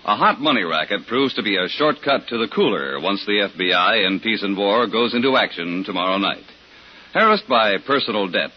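Animated 1950s radio promo man. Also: on the low side, slightly rough. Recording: muffled 1950s radio recording.

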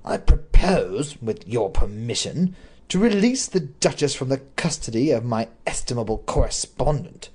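A reader voicing an imperious aristocratic duke who speaks grimly and bitterly. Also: male voice, medium pitch, animated, normal speed, darkish tone, husky. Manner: grim, bitter, commanding.

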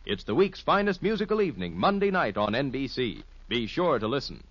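A man's voice: medium pitch, big pitch swings, a little fast, fairly bright tone, no room sound. An American man reading a scripted 1950s radio promo as a formal, polished network announcer. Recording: dull, dry old radio recording.

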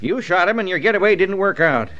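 An actor playing a tough, stubborn small-town sheriff in a radio western, with gruff, folksy frontier speech. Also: male voice, high-pitched, lively intonation, fast talker, sharp tone. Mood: stern, dismissive. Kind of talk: old-time radio western acting. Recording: dull vintage radio broadcast.

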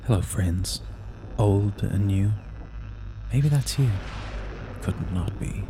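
Genial ghoulish male horror host, acted by a man, deep and unhurried, theatrical menace with a wink, faint noise behind. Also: expressive. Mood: playfully ominous. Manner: slow, ominous, playful. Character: creepy, genial male horror storyteller in a crypt. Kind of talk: theatrical spooky podcast introduction.

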